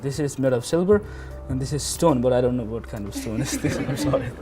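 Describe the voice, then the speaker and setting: A man's voice: mid-range voice, expressive. Nepali teen guy, english not his first language, lots of restarts, music in the back. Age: teens. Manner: halting, restarts often, plain simple English. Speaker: student showing his community. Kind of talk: casual documentary talk.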